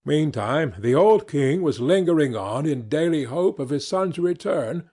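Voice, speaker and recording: A man narrating a fairy tale as an audiobook reader, in an expressive and measured storytelling manner. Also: fairly high pitch.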